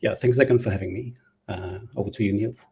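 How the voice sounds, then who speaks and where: A man in his forties with a low, gravelly, husky voice. He talks in a relaxed, halting way full of fillers, joining from a video call. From Germany. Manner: hesitant, many fillers. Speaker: solution engineering lead. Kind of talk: casual self-introduction.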